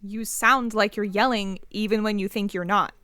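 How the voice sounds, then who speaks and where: A woman's voice: medium pitch, steady tone, normal speed, bright, forceful tone, clear, resonant tone, no room sound, studio quality, lightly acted. A young woman with an American accent acting an exasperated, overworked, demanding girlfriend in a naturalistic audio play, pointed and insistent.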